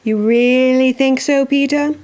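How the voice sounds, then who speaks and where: Woman as high-voiced, prim young girl. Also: steady tone, a little slow, darkish tone, clean tone. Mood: pleased, curious. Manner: prim, well-mannered, theatrical. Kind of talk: audio drama acting, also narrating own stage actions.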